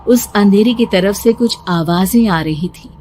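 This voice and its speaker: A woman's voice: medium pitch, unhurried, a little mellow, a little hiss or hum. A woman narrating a scripted horror story in the first person, dramatic, suspenseful and emotive. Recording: music behind the voice. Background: music.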